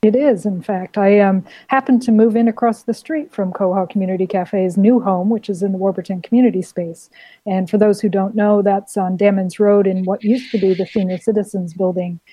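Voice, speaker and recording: A woman's voice: medium pitch, fairly even intonation, fairly quick, mellow, breathy edge, dry recording, poor audio. A woman with a foreign accent, a community cafe committee member being interviewed on radio, explaining in a friendly way with many fillers. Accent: non-Australian, foreign.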